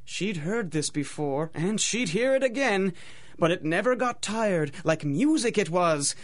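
An actor playing a dramatic radio announcer, telling a pulp tale in rhyme with theatrical, measured storybook delivery. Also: male voice, sits high, expressive. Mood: wry storytelling. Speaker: announcer and narrator. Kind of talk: rhymed storybook narration in old-time radio drama style.

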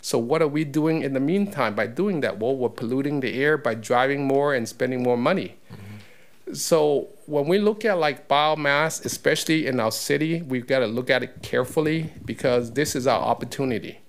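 A man's voice: medium pitch, moderate pace. A man giving a public comment at a council meeting podium, explaining in a conversational way with many fillers. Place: council chamber. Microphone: podium mic.

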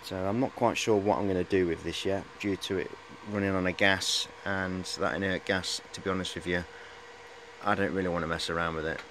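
A man in his forties with a fairly low voice gives a casual, rambling show-and-tell. He is matey and hesitates often as he goes. It is recorded on a handheld camera indoors, with some noise behind him. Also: steady tone, fairly quick, a bit bright. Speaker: hobbyist showing high-voltage equipment. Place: cluttered indoor workshop. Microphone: camera at arm's length.

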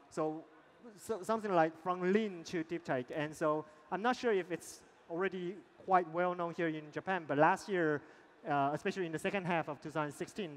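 A middle-aged man, an investor, moderating a fireside chat on a large conference stage in a relaxed way with many fillers, through a headset mic. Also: fairly high pitch. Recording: long hall reverb, faint ambience.

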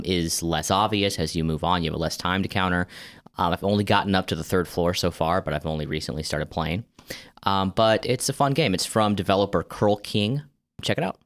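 A man co-hosting a podcast, explaining things in casual, conversational talk. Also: deep voice. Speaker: podcast co-host.

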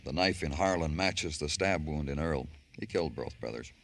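An actor playing a terse, world-weary police detective in a radio crime drama, asking dry, clipped questions. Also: male voice, extremely low pitch, expressive, moderate pace, creaky, exaggerated voice. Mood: musing, weary. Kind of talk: old-time radio crime drama acting. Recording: hissy old-time radio recording.

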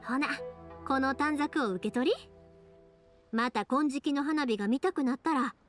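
A woman's voice: high voice, a little slow, music in the background. A woman voicing a cheerful, sincere young fireworks maker in an anime-style game, with a Kansai accent and a friendly, earnest, colloquial manner. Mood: warm, sincere.

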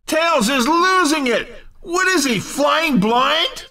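An excitable male commentator for an arcade racing game. His voice is pitched extremely high and rings bright and forceful. He delivers punchy, emphatic calls at an unhurried, drawn-out pace. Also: recorded from a distance, heavily acted. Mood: excited, teasing.

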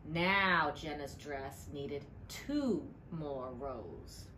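A middle-aged woman, a librarian, reads a picture book aloud with deliberate storytelling emphasis. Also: deep voice, lively intonation. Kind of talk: expressive storybook read-aloud. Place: indoor library room. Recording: clearly noisy room ambience.

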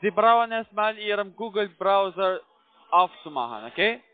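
High-pitched foreign-accented man on the phone. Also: expressive, normal speed, no echo. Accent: foreign, non-native German. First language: not German. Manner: repetitive, keeps checking with tag questions. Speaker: call center agent posing as IT support. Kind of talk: step-by-step phone instructions. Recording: tinny narrowband phone line.

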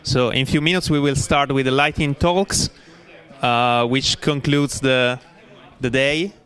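A man chairs a conference session in a medium-pitched voice. He gives plain, practical announcements without a script, halting now and then as he goes. The setting is a conference room. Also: steady tone, a bit bright, clear, resonant tone. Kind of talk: unscripted event announcement.

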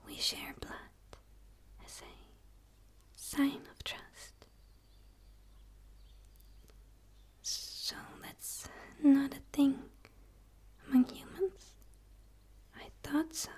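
A woman voicing a gentle, curious dark alien in a soft, tentative, pleading ASMR roleplay whisper. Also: high-pitched, fairly even intonation, drawn-out words, pauses often. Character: gentle, curious female dark alien eager for human friendship.

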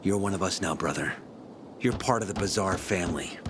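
An actor playing a brash, loyal young street rebel in a video game, emphatic and swaggering. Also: male voice, low-pitched, brisk, background noise. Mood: warm, approving.

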